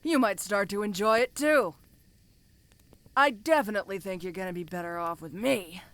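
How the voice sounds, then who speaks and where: Smooth, bright woman as a bossy ogre giantess. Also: mid-range voice, normal speed, lightly acted. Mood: pleased. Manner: commanding, casual, teasing. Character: domineering giant female ogre mercenary, tough yet teasing. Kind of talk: first-person audio roleplay addressed to the listener.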